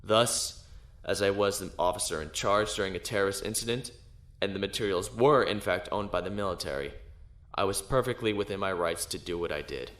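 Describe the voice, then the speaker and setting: Defiant male space-navy lieutenant, low register, formal and assured argument, sci-fi audio drama, echoing room. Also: fairly quick, a bit bright. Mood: confident, insistent. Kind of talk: science fiction audio drama acting. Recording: roomy echo.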